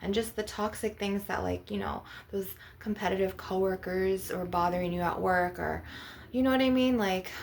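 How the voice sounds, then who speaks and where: A woman with a creaky, fry-edged voice at a middle pitch leads an ASMR energy-healing session. She guides the listener instructively as a spiritual healer. The recording is noticeably noisy, with clicks and clatter. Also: fairly even intonation, normal speed. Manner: instructive, guiding breathing and visualisation.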